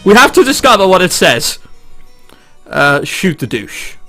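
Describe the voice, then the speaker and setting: A bright, high-voiced man plays through a visual novel on his channel. He reads the story with animated swings and drops in exclamatory, sweary jokes. Faint music plays behind him. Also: unhurried. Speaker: let's player reading a visual novel. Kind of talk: dramatic read-aloud with joking commentary.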